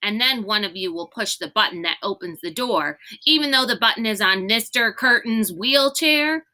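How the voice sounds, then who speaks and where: A sarcastic little girl from a storybook, read by a woman, bright and pointed in tone. She questions everything bluntly and with open exasperation. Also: mid-range voice, lively intonation, moderate pace, pitched above the performer's own voice. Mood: skeptical.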